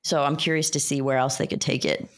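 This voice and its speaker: Mid-pitched podcast panelist thinking aloud. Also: level tone, fairly quick. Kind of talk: casual gaming podcast chat.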